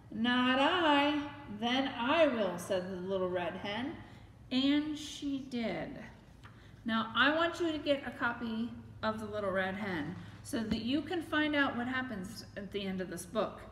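A woman's voice: sits high, expressive, slow, fairly bright tone. A middle-aged woman reading a picture book aloud to children and putting questions to them as she goes. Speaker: librarian reading a children's story. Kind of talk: animated story time read-aloud with questions to children. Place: library room with bookshelves, indoors. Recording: echoey room with faint background noise.

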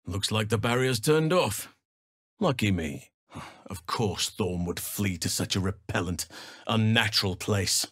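A man voicing a wise, gentle-hearted druid healer in a video game, speaking in measured, formal, archaic phrasing. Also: on the low side, breathy edge, no room sound, clean studio sound. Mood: solemn, measured.

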